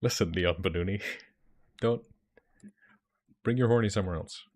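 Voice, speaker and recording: A man's voice: sits low, normal speed, darkish tone. A young man with an Icelandic accent, chatting casually with viewers on a livestream and answering their comments with rambling restarts. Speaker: streamer and dungeon master.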